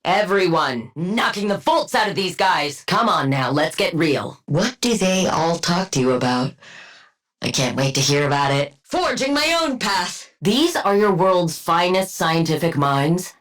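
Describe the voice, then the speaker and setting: A woman's voice: lowish voice, animated, a little slow, a bit bright. An actress playing a cool, sardonic female scientist supervillain in a video game, with precise diction and a clinical, condescending manner. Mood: dry, clinical, then sardonic, commanding. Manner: clinical, condescending, dryly witty. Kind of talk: video game combat barks.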